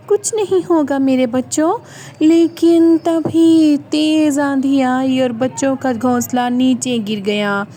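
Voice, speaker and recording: A woman narrates a children's cartoon story in a high voice, slowly and dramatically. Her storyteller tone carries the tale, and a faint ambient hum sits behind it. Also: mellow.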